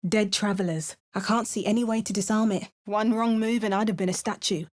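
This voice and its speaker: A young woman voicing a game character with wry, measured first-person narration. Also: mid-range voice, steady tone.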